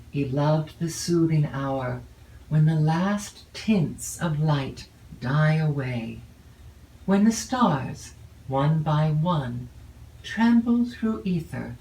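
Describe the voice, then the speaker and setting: Woman reading an old novel audiobook, measured n expressive, echoey room, bit of background noise. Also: low voice. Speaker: audiobook narrator. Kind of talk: scripted literary narration of a classic novel. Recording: roomy, distant mic with faint noise.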